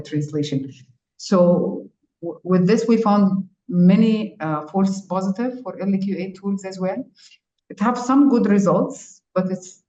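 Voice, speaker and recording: A woman's voice: fairly low pitch, mellow, recorded from a distance. A woman with an Arabic accent giving a panelist's answer, somewhat hesitant, on muffled, roomy call audio. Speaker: translation expert, panelist.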